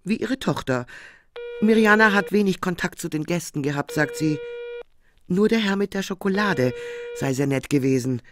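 A man's voice: high-pitched, expressive, moderate pace, noisy background. A man playing a sober, sceptical police officer with a clipped, report-like delivery in a German crime radio play.

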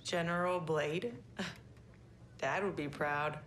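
A woman's voice: deep voice, fairly even intonation, extremely slow, a bit bright, a little hiss or hum, heavily acted. An actress with an American accent playing a tough, guarded young female army officer in a video game. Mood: wistful.

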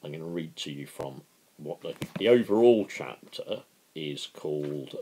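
A man's voice: rumbling low, lively intonation, a little slow, mellow, slightly rough, rough recording. A man in his fifties informally introducing a dramatic book reading, talking to a camera in his living room. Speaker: amateur book reader. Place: small living room, indoors.

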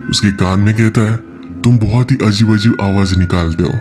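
A man's voice: lowish voice, normal speed, darkish tone. A man giving a scripted movie-recap narration, telling the story in a plain, explanatory manner with simple sentences. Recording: music under the voice. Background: background music.

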